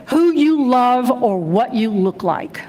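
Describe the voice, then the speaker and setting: A woman's voice: fairly high pitch, lively intonation, slow. A woman giving a prepared speech as an activist group speaker at a public event. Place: large church hall, live event. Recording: noisy, reverberant hall PA recording.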